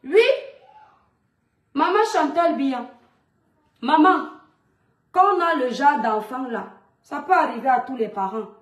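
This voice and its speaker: High-voiced scolding Cameroonian woman. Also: measured pace, long pauses, roomy sound. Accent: Cameroonian French. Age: 30s. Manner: scolding, lecturing, rhetorical questions. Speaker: mother addressing a public figure online. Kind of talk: monologue video rant.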